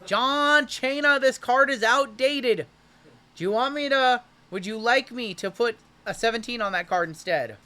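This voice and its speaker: A man hosting a livestream card break, calling out pulls with hype and jokes, his voice wearing hoarse. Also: very high-pitched, measured pace, pushed and bright. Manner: hyped, joking, calls out cards as they are pulled. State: voice going hoarse from shouting.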